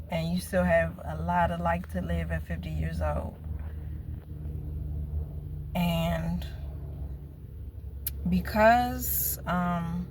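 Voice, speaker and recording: A woman in her fifties talking slowly and earnestly to her viewers in a casual personal vlog. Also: lowish voice, creaky, slight background noise. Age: 50s. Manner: slow, reflective, earnest. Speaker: vlogger.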